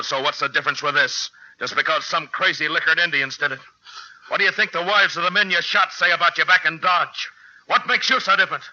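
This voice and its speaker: An actor playing a stern, weary American marshal in a 1950s radio western, speaking and narrating with grave, terse authority. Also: male voice, a little high, sharp tone, no echo. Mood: angry. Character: stern, weary male frontier marshal, gruffly commanding. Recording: dull 1950s radio recording, music behind.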